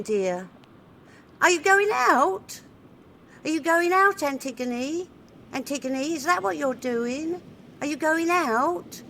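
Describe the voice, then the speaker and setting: Slow, plaintive fretting lady. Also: high voice, a bit bright, slight background noise, full character voice. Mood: needling. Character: mournful, fretting, clingy older woman, passive-aggressive. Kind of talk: audio sitcom acting.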